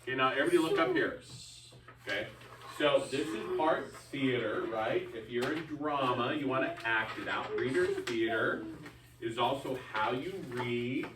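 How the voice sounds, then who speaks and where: A man's voice: mid-range voice, normal speed, a crowd in the background. A man teaching a small reading group informally, patiently coaxing and giving instructions in a classroom. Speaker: teacher leading a reading lesson. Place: echoey classroom with children. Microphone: distant microphone. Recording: echoey, distant, noisy classroom recording.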